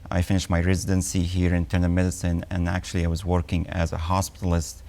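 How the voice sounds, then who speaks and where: A man in his forties with an Egyptian accent, an oncologist speaking as a guest in a TV health interview, measured and full of ums and uhs. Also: deep voice, monotone, gravelly, dark tone.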